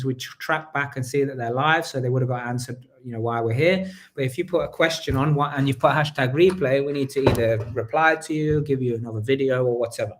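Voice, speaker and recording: A man hosting a live online talk show, talking energetically to viewers and his guest, often repeating himself. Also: on the high side. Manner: energetic, repeats himself, asks the audience to engage. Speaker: host.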